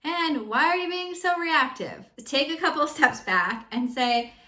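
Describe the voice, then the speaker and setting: A woman's voice: high-pitched, expressive, measured pace, bright, forceful tone. A young woman with a Greek family background, a coach giving candid, chatty relationship advice to the camera. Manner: chatty and candid, with frequent fillers. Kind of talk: casual advice talk to camera. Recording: roomy echo.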